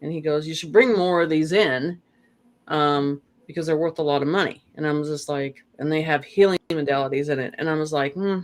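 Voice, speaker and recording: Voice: medium pitch, steady tone, fairly quick. A guest in a casual spiritual conversation, rambling along with lots of "you know" and restarts.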